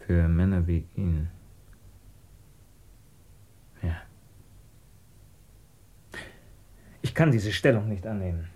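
A man's lowish, soft-toned voice plays a brooding scientist in a radio play. He is introspective and searching, torn by conscience, with a theatrical delivery. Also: fairly quick. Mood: bitter, subdued. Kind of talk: German radio drama acting.

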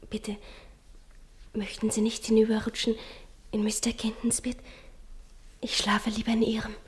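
An actress playing a clingy, jealous twelve-year-old girl in a 1950s German radio play, intense and breathy, often dropping to whispers. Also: a little high, completely flat delivery, unhurried, long pauses, close to an everyday voice. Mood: adoring, secretive.

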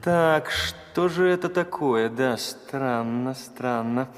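A man's voice: medium pitch, slow, in a large hall, soft background music, lightly acted. A man playing an impulsive, commanding Eastern caliph in a theatrical fairy-tale audio play. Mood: intrigued. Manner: imperious, theatrical.

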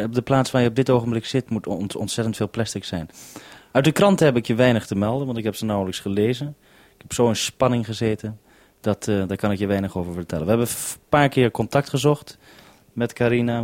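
A man's voice: fairly low pitch, fast talker, a little mellow, no echo. A male radio presenter in a casual, businesslike radio-contact conversation, ending turns with "over". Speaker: radio presenter at the base station.

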